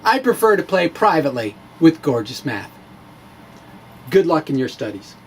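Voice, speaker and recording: A man with a fairly high voice reads in a wry, theatrical way, dropping into casual asides. His delivery is slow and swings widely in pitch. There is some faint noise behind him. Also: very animated. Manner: wry, playful, theatrical emphasis. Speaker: physics blogger reading his own blog. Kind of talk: dramatic reading with casual asides.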